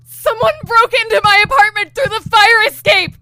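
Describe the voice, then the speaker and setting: A woman's voice: very high voice, moderate pace, sharp tone, dry recording. A woman playing a frightened, shaken heroine in an audio drama, breathless and stammering with fear. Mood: panicked. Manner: panicked, stammering. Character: frightened woman in distress after a break-in.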